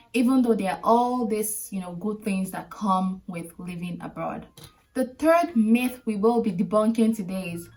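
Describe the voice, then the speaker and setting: A Nigerian woman in her late twenties hosts a casual advice vlog. She talks straight to the viewer, leaning on key words and repeating them to drive each point home. Her voice sounds a little distant from the microphone, and her pitch sits in an ordinary middle range. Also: a little mellow. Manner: emphatic, direct, repeats points for stress. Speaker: video host.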